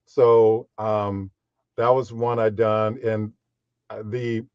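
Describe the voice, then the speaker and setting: A deep-voiced man sits for a podcast interview about his voiceover work. He talks in a measured, unhurried way, with plenty of fillers. His low voice comes through slightly muffled and very dry. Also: flat delivery, smooth, no room sound. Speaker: guest voiceover artist.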